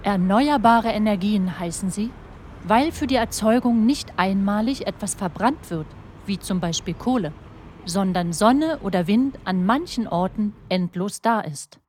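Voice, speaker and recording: A woman's voice: medium pitch, animated, unhurried, darkish tone, slight background noise, close to an everyday voice. A woman performing as a matter-of-fact explainer, reading scripted educational narration.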